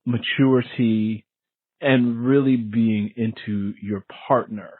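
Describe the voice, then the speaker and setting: A man's voice: fairly low pitch, fairly even intonation, slow pace, slightly rough, dry recording, phone-quality sound. A man hosting a casual book interview as a fellow author, speaking in an informal, colloquial way.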